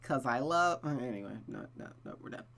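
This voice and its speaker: A man's voice: medium pitch, huge pitch swings, brisk, creaky, fairly bright tone. A man reading a visual novel aloud with casual, slangy commentary and muttered asides. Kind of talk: casual playthrough with read-aloud narration and commentary.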